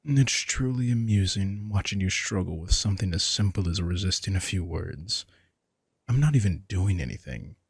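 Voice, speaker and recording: A man voicing a possessive, teasing vampire in an intimate audio roleplay, smug and softly commanding. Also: sits low, expressive, moderate pace, creaky, breathy edge, no echo, studio quality, full character voice. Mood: teasing, protective. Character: possessive, teasing male vampire with hypnotic powers. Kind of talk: intimate scripted audio roleplay addressed to the listener.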